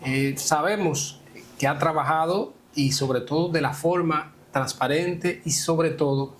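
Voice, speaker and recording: A man with a Dominican accent conducting an informal interview in a halting way, often adding little tags to check agreement. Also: fairly high pitch, slight background noise. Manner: halting, frequent confirmation tags.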